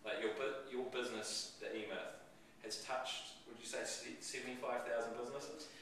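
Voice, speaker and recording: A man's voice: medium pitch, fairly even intonation, normal speed, a bit bright, far from the mic, slight background noise. Kiwi man interviewing in an echoey room. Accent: New Zealand. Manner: eager, laughs often, many fillers. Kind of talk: casual interview.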